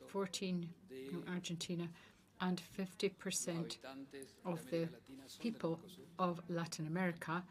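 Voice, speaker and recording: A woman interpreting formal meeting speeches live, in halting, phrase-by-phrase English delivery. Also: lowish voice, level tone. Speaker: simultaneous interpreter. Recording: loud crowd murmur behind.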